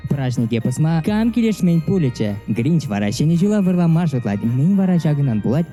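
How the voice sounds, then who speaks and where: Man, native Chuvash speaker, film review narration, very mellow dark tone, slightly high pitch, background music. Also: sing-song, fairly quick. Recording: music under the voice.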